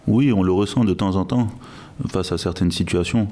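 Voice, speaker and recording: Man from Guinea, 30s, guest on a podcast. Very low, dark, even delivery, measured, restarts often. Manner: measured, reflective, frequent restarts. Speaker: guest, logistics director. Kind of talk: podcast interview.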